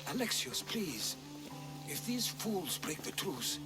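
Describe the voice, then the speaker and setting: A man's voice: fairly high pitch, sing-song, over loud music. A man playing a mild, learned scholar companion in a video game, erudite and diplomatic. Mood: cautious. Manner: measured, erudite, conciliatory.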